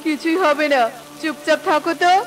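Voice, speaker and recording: High-voiced bullying crow husband acted by a woman. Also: steady tone, normal speed, background noise, close to an everyday voice. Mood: irritated, dismissive. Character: bullying male crow, thieving, dismissive husband. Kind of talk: children's cartoon dubbing.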